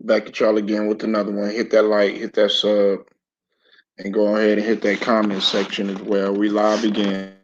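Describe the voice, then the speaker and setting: A man hosting a casual live sports talk stream, hoarse and raspy from a sore throat, clearing his throat often and talking in a laid-back, stop-start way with many repeated words. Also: on the low side, completely flat delivery, normal speed, a little hiss or hum. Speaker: sports commentary channel host. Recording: dull lo-fi home recording.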